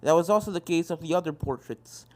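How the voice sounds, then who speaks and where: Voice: medium pitch, fast. A narrator reading an adventure story in scripted narration, with music playing behind. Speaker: fan fiction narrator.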